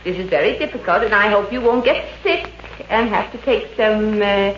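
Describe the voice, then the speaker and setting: A woman playing a brassy, quick-witted showgirl with wisecracking, theatrical patter in 1950s radio comedy style. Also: medium pitch, fairly bright tone, natural voice. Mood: showy patter. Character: brassy, quick-witted showgirl running a mind-reading act. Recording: muffled, noisy 1950s radio recording, roomy.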